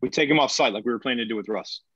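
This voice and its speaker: An actor playing an earnest colony leader trying to keep control in a screenplay table read, measured and placating. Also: male voice, mid-range voice, a bit bright, dry recording, highs cut off, natural voice. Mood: firm, practical.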